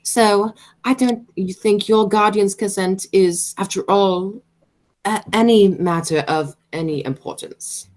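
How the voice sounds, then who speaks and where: A woman playing an imperious, pompous aristocratic matron in an amateur radio play, speaking in a formal, deliberate way. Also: mid-range voice, darkish tone, lightly acted. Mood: measured condescension. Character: haughty, imperious aristocratic matron Lady Bracknell, coolly disapproving.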